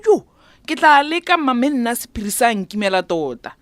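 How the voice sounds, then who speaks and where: An actress playing an exasperated, dramatic middle-aged auntie with a Botswana accent in a radio drama, exclaiming and scolding. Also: female voice, fairly high pitch, sing-song, fairly quick, a bit bright, no room sound. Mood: reluctant. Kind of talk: radio drama acting, conversational.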